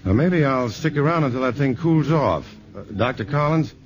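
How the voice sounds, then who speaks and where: A man's voice: mid-range voice, lively intonation, moderate pace, close to an everyday voice. An actor playing a polite, reserved male scientist in a 1950s radio drama. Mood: thoughtful, courteous. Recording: dull old radio recording, faint music.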